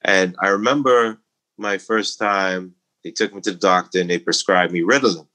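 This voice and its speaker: A middle-aged Bahamian man speaking in a low voice during an interview. He builds his points emphatically, lifting his pitch into a preaching lilt as he lists examples and makes his case. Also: fairly even intonation, normal speed, sharp tone. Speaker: interview guest. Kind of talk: conversational interview.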